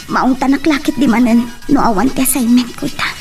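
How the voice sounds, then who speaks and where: A woman's voice: a little high, brisk, soft background music, close to an everyday voice. A woman playing an anxious, love-struck schoolgirl in a radio drama, fretful and pleading, with an Ilocano accent. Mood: upset.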